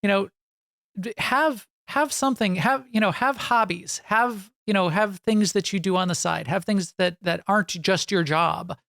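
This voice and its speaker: Creaky-voiced woman interviewer, dry close sound. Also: mid-range voice, moderate pace, fairly bright tone, dry recording. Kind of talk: casual interview chat.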